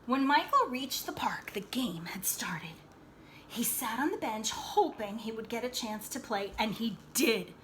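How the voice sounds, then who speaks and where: A woman with a bright, fairly high voice reads a picture book aloud to children. She is animated and dramatic, takes her time, and adds little asides to explain the story. There is some noise in the recording. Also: unhurried. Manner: animated, dramatic delivery that explains things to young listeners.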